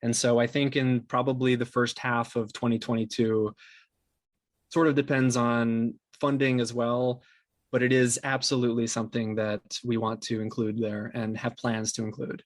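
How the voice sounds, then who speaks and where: An earnest man answers as a guest in an interview. His voice sits at an ordinary male pitch, and he talks in a conversational, appreciative way. The sound is dry, with no room echo. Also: flat delivery, normal speed. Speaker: interview guest, podcast company co-founder.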